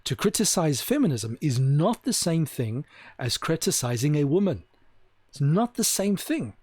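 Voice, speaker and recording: A man with a somewhat high voice delivers a forceful solo monologue, repeating his points for emphasis. He slows almost to a crawl on the words he wants to land. The recording is close and dry, with no room sound. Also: sing-song, slightly rough, no echo. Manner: slows down to stress key words. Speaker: video host.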